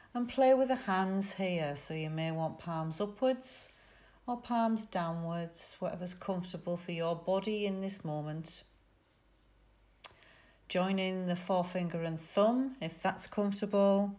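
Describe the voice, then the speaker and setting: A woman leads a guided yoga nidra meditation in a fairly low voice. Her delivery is very slow and soothing, with short instructions set apart by pauses. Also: darkish tone.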